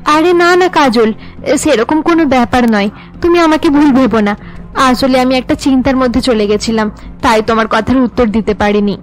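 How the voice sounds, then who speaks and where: A woman's voice: high-pitched, normal speed, soft background music, lightly acted, poor audio. A woman voicing a sorrowful, anxious young wife in a cartoon. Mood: apologetic.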